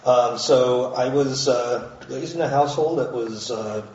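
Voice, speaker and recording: A man from the United States introducing himself as a panelist, speaking haltingly with many uhs and restarts. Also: mid-range voice, fairly even intonation, darkish tone, slight background noise. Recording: distant, dull, very echoey room.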